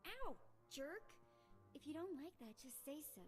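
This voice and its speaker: An actress playing a cheeky, taunting young prisoner in a video game, mocking and flippant. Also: female voice, sits high, big pitch swings, measured pace. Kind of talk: video game character acting. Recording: long reverb, faint music.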